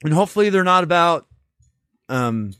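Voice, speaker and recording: A young man hosting a casual podcast, animated and laughing often, joking with his guest. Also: on the high side, moderate pace, clean tone, no echo, studio quality. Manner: animated, laughing, breaks into loud shouted voices. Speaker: podcast host.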